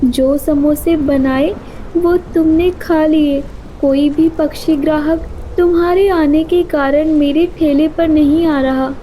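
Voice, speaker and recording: A woman's voice: high voice, steady tone, takes it slowly, dark tone, a little hiss or hum, rough recording. A woman voicing a kind, anxious little female bird in a cartoon, with an earnest, pleading manner. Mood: worried, pleading.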